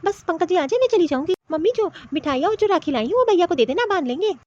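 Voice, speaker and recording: Woman acting a very high, plaintive cartoon sister. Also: animated, quick pace, darkish tone. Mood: hurt, dejected. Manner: plaintive, disappointed. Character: hurt, dutiful young married Indian sister. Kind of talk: acted Hindi cartoon family story. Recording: dull, lo-fi cartoon voice track.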